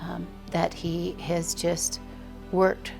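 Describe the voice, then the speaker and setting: A woman's voice: lowish voice, completely flat delivery, extremely slow, creaky. A woman in her fifties with an American accent, speaking reflectively as an interviewee. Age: 50s. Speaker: interviewee, broadcaster's wife. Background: background music.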